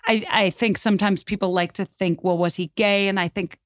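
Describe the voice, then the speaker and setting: A woman's voice: mid-range voice, steady tone, brisk, dry recording, clean studio sound. A woman talking through history as a podcast guest, conversational and informal, with an enthusiastic, opinionated manner.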